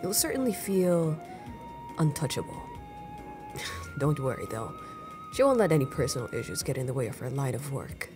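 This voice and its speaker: An easygoing male syndicate veteran, played by an actor, with an animated, swooping delivery. He sounds amused and conversational, teasing like an old friend in an audio roleplay with music clearly underneath. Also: fairly high pitch, moderate pace, pauses often. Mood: reflective. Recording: music playing clearly behind the voice.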